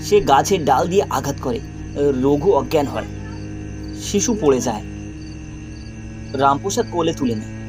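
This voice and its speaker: A man narrating a scripted dramatic story in short, suspenseful phrases with pauses. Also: a little high, lively intonation, music in the background.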